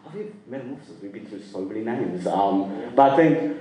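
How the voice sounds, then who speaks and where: A man's voice: medium pitch, animated, fairly quick, recorded from a distance, a crowd in the background. A man born in the UK and raised in Sri Lanka, telling his own story at a live storytelling night in a casual, rambling way and laughing easily. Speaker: storyteller guest. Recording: roomy echo, from off-mic.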